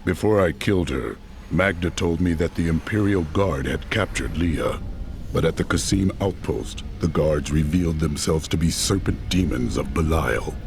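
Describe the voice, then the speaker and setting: An actor voicing a stern, resolute holy warrior hero in a game. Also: male voice, rumbling low, normal speed, a little hiss or hum. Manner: stern, gravelly, solemn. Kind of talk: game cutscene acting and narration.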